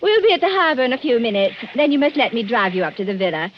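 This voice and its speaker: An actress playing a flirtatious, gushing countess fascinated by wicked things, in 1940s radio style. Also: female voice, on the high side, very animated, fast. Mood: eager, commanding. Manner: gushing, theatrical. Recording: muffled, noisy old radio recording.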